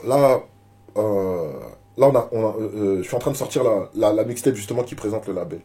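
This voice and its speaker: A man's voice: fairly low pitch, brisk, dark tone. A rapper being interviewed, talking casually and often repeating words while he searches for them. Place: media office.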